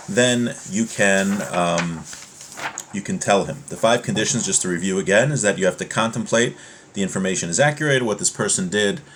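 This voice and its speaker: Man teaching a class, halting and explanatory. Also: on the low side, a bit bright, a little hiss or hum. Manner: halting, repeats and rephrases points step by step. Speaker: religious teacher giving a class. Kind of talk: informal religious lesson, explaining and reading from a text.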